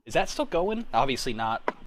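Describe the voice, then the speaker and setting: A man's voice: medium pitch, big pitch swings, moderate pace, crowd noise. A man in his thirties hosting a casual group chat, talking in an informal, rambling way and often interrupting himself. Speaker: host.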